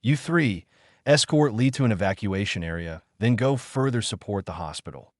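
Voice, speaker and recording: A man's voice: fairly low pitch, animated, normal speed, dry recording, studio quality, natural voice. Man voicing a terse young ninja giving orders. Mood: commanding. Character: commanding young male ninja fighter giving battle orders.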